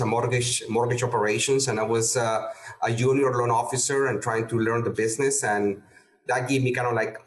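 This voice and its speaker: A middle-aged man from Peru, talking casually as an interview guest, halting with frequent fillers and restarts. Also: mid-range voice, roomy sound, low-quality recording. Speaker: guest real estate investor.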